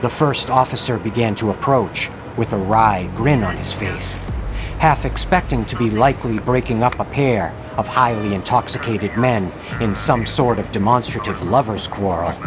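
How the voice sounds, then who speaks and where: Man narrating horror, music behind. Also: on the low side. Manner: dramatic, ornate, deliberate phrasing. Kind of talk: scripted horror story narration. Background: dramatic music bed.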